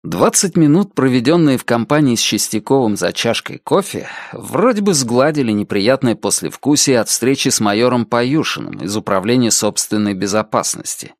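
A man narrating an audiobook in an even storytelling manner. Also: mid-range voice, normal speed, hardly any pauses, dry recording, studio quality. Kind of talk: scripted narration.

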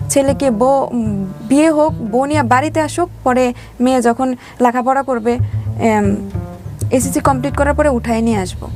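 A woman's voice: high-pitched, normal speed, pauses often. A woman in her twenties with a Bangladeshi accent, telling a personal life story as an interview guest in plain, colloquial speech. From Mymensingh, Bangladesh. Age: 20s. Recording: loud background music under the voice. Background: music.

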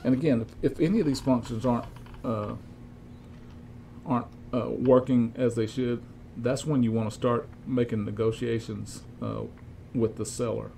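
Older man, gravelly and mellow. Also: mid-range voice. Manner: halting, methodical step-by-step explaining. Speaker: repair technician demonstrating equipment checks. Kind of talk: informal instructional demonstration. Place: cluttered indoor electronics workshop. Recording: clear steady background room noise.

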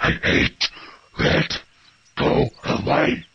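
A man voicing a grumpy, hulking bull in a cartoon video game, with a gravelly growl and drawn-out delivery. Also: highs cut off. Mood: angry. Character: grumpy, hulking bull who hates red.

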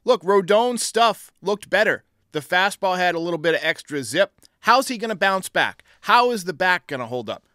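A man's voice: on the high side, a bit bright, clean tone, no echo. A man in his thirties hosting a sports fan show, giving commentary into a close studio mic. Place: small home studio, indoors. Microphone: close studio mic on boom arm.

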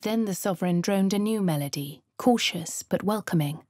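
An actress with a posh English accent playing a composed adventurer in a game, speaking in a dry, measured, lightly sardonic way. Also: female voice, mid-range voice, darkish tone, dry recording, natural voice. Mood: wry. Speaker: player character. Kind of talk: game voice lines. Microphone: studio mic.

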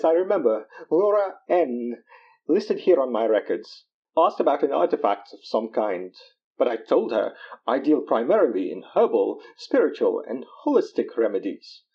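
A man's voice: mid-range voice, slightly rough, highs cut off. A man playing a pompous spiritual guru in an audio drama, speaking in a grandiose, flowery way. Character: pompous, theatrical male spiritual guru peddling remedies. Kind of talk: audio drama character acting.

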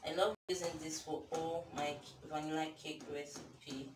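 A woman's voice: low voice, flat delivery, normal speed, over music. A young Nigerian woman in her twenties with a Nigerian accent, chatting casually through a baking tutorial in a small home kitchen while she works. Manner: chatty, self-deprecating, talks while working. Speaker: baking vlogger. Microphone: camera mic from across the kitchen. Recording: echoey kitchen from far off, noisy.

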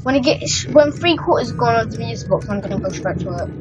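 A young woman talks through her game in a slow, muttered, exasperated way. Her pitch sits fairly high and moves a lot. Loud game music plays behind her. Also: expressive, muffled recording. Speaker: gamer. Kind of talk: casual let's-play commentary.

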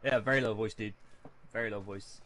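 A man's voice: on the low side, steady tone, brisk, fairly bright tone, slight background noise. A young man chatting casually and swearing while playing a shooter game. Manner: laid-back, swears, slangy banter. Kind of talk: casual gaming chat. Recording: game gunfire under the voice.

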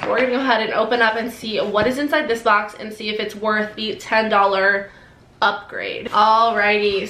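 Young woman beauty vlogger, nasal and a bit congested, bright tone, fairly high, echoey room. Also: a little hiss or hum. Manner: bubbly, gushing, quick asides. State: sore throat, congested. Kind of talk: casual product unboxing to camera. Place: decorated bedroom, indoors.

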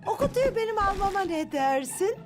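A woman voicing a kindly, quick-thinking grandmother in a dubbed children's cartoon. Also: extremely high pitch, very animated. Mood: sweetly composed. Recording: music under the voice.

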